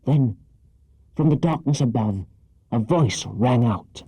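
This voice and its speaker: A male audiobook narrator tells a children's adventure with dramatic care. His voice is lowish, very dark and husky. The recording is dry, with little room around the words. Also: expressive, slow, no room sound.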